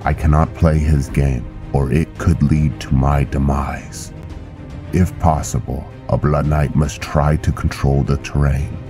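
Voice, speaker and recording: A man playing a stern, honor-bound vampire knight officer in a game roleplay, speaking in a formal, solemn, measured way. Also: very deep voice, flat delivery, over music. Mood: solemn, calculating. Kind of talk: scripted roleplay narration and game dialogue.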